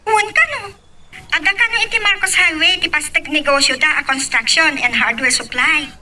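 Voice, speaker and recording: A woman playing a lively young friend in an audio love drama, chatty and exclamatory, with an Ilocano accent. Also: high-pitched, brisk, distant mic, background noise, lightly acted. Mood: chatty, curious. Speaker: voice actor.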